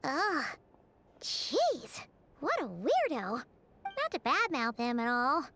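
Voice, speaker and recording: A woman voicing a small, excitable, childlike fairy companion in a video game, with a squeaky, expressive voice. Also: slow, heavily acted. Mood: uneasy. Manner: refers to herself in the third person, expressive. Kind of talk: video game character dialogue.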